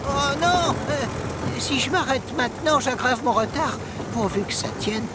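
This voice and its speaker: A man's voice: very high voice, expressive, measured pace, very noisy. An actor playing a scatterbrained, flustered and apologetic male farmer in a children's cartoon. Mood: anxious, dismayed. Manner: hesitant, flustered. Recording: loud music behind the voice. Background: loud music.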